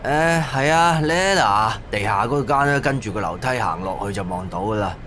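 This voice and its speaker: A man playing a chatty, helpful street yogurt vendor in a Cantonese audio drama, with drawn-out hawker cries. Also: on the low side, animated, fairly bright tone. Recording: noisy street ambience with cars.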